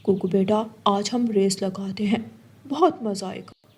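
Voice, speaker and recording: A woman's voice: mid-range voice, measured pace, darkish tone, husky. A woman playing a devoted, patient father bird who indulges his child, in a children's cartoon. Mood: indulgent. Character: devoted adult father bird, gentle, self-sacrificing.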